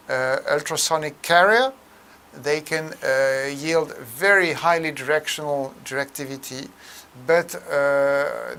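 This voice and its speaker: A man presenting a technical tutorial in an explanatory way, with a halting, hesitant delivery full of mid-phrase pauses. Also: fairly high pitch, a bit bright, smooth.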